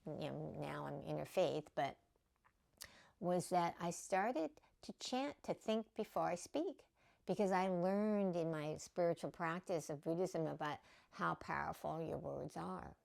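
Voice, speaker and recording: Low, gravelly-voiced woman teaching spirituality. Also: a little slow, darkish tone. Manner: conversational, many fillers and "okay?" check-ins. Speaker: spiritual teacher and podcast host. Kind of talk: casual spiritual teaching monologue.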